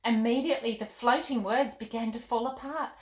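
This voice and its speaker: A woman reading expressively to children as a storytime host, with a gentle, deliberate delivery. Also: fairly high pitch. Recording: roomy, echoey room.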